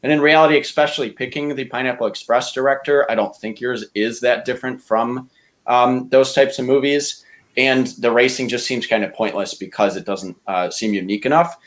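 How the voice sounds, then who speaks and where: A man's voice: mid-range voice, steady tone, a little fast. A man speaking in a casual debate style as a podcast contestant, rambling and opinionated as he argues his case. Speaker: podcast contestant pitching movie ideas.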